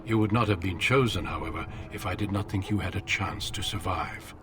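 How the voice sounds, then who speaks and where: A man playing a grave veteran leader of a warrior order in a fantasy game, measured, formal and authoritative. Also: deep voice, noisy background, lightly acted. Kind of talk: scripted fantasy game dialogue.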